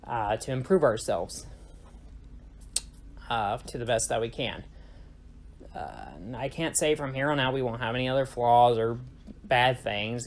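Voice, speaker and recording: A young man with a mid-range voice chats casually about his gaming channel. He rambles, leans on fillers and corrects himself as he goes. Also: medium pitch. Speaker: YouTube gaming channel host. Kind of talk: casual channel intro talk.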